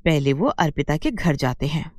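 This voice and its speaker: A woman reading scripted narration for an animated moral story. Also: sits low, moderate pace, dry recording, clean studio sound.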